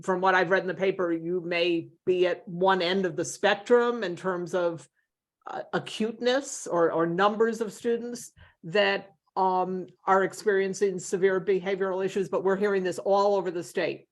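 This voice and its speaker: A woman's voice: on the low side, steady tone, moderate pace. A woman moderating a meeting and opening the floor to participants in an informal discussion. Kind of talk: meeting facilitation.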